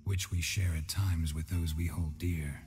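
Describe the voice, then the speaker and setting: A man voicing a gruff, weary monster hunter in a fantasy game, with a gravelly voice and terse deadpan delivery. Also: deep voice, unhurried. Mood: quiet, reflective. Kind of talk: video game voice acting.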